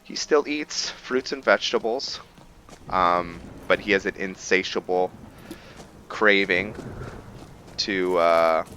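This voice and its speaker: A man's voice: low-pitched, slow, pauses often, sharp tone, slight background noise. A man giving casual roleplay gameplay commentary, thinking aloud to himself as he plays. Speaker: gaming video host. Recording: background music under voice. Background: game music.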